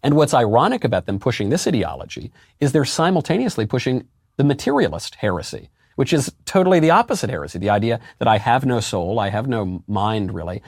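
A man with a deep voice, a guest in a political interview, arguing emphatically with rhetorical questions and sudden jumps in pitch on key words. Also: very animated, brisk, no echo, studio quality. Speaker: interview guest, conservative commentator.

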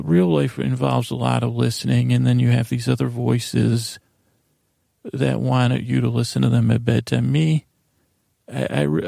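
A man in his forties hosting a bedtime podcast, rambling haltingly and interrupting himself in a self-deprecating monologue. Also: mid-range voice, vocal fry, dark tone, no room sound, studio quality. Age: 40s. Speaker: podcast host.

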